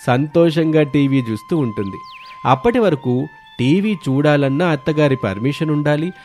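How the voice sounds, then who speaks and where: A man's voice: a little high, darkish tone. A man narrating a scripted moral story as a storyteller.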